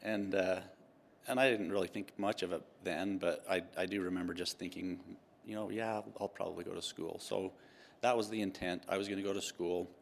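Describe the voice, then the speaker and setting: Low gravelly man, dry humor. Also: steady tone, fairly quick. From Utah. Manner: dry self-deprecating humor, many ums and restarts. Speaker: tax partner and guest. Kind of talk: relaxed interview answers.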